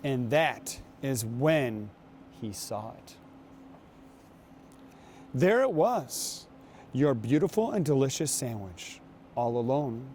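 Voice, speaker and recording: Slow, mellow man reading a storybook. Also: a little high, a little hiss or hum. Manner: unhurried storytelling with pauses. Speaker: guest reader, basketball coach. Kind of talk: reading a picture book aloud to children.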